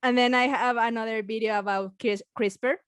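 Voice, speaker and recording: A woman with a Mexican accent, a biologist chatting casually as a podcast guest, halting with many restarts and frequent laughs. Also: fairly high pitch, steady tone, clear, resonant tone, no room sound, studio quality. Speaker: biologist guest and science communicator.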